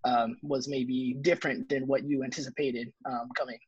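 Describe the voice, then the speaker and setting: Husky guy interviewer, casual rambly questions, muffled lo-fi sound. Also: mid-range voice, level tone, normal speed, no echo. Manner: rambling, loosely phrased questions. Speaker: interviewer, co-host. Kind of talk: casual chat.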